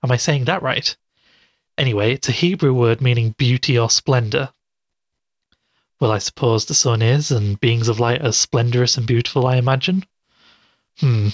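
A man's voice: mid-range voice, no room sound, lightly acted. Skeptical man acting as podcast host, dry close sound. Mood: puzzled, skeptical. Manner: skeptical, halting, thinking aloud. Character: skeptical male investigative show host puzzling over clues. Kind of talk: audio drama as investigative podcast monologue.

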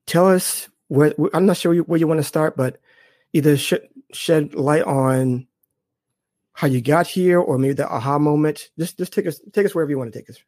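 A man's voice: on the high side, normal speed, darkish tone, dry recording. Man, podcast host, enthusiastic, dry sound. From Boston. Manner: upbeat, enthusiastic, repeats "awesome". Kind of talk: interview hosting.

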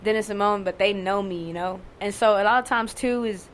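A young woman with a fairly monotone voice, talking candidly and casually, swearing and using lots of fillers. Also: medium pitch, brisk, slight background noise. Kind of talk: casual conversation.

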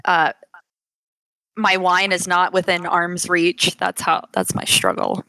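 Bright-voiced woman, wry headset chat. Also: on the low side, big pitch swings, slow, no room sound, rough recording. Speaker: podcast co-host. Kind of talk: casual chat. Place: lying in a corner of her home. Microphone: gaming headset.

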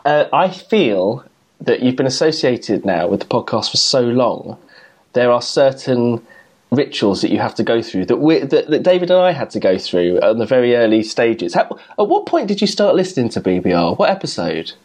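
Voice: mid-range voice, big pitch swings, normal speed, darkish tone. A podcast host chatting casually, rambling and often breaking off mid-sentence. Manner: rambling, self-interrupting, laughs often. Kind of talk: casual chat.

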